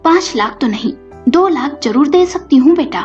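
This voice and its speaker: An older mother-in-law in a storybook drama, acted by a woman narrator, defensive and scolding. Her voice rides high, on a dull recording with music behind. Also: expressive, moderate pace, darkish tone. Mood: concerned. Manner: indignant, scolding retorts.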